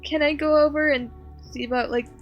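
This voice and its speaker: A woman's voice: sits high, fairly even intonation, brisk, muffled recording, faint music behind. A woman playing in a tabletop roleplay, talking casually in and out of character. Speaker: player.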